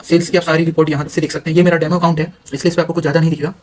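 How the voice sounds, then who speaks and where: Fast, flat-toned Indian man giving tutorial. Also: fairly high pitch, slight background noise. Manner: halting, sprinkles English terms into his speech. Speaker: software demonstrator. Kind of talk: informal screen-recorded tutorial walkthrough.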